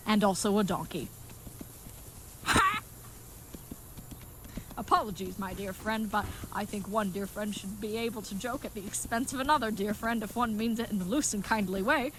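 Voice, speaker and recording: A woman's voice: medium pitch, lively intonation, moderate pace, pauses often, a bit bright, a little hiss or hum, lightly acted, low-quality recording. A woman playing an earnest, good-hearted but dim-witted knightly leathersmith in a comedic audio drama, speaking in florid, mock-archaic phrases. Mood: fond, chatty.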